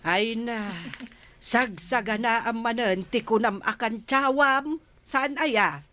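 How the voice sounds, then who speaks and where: A woman's voice: a little high, slow, sharp tone. A woman playing an exasperated, scolding mother of a naughty boy in a comedy radio drama.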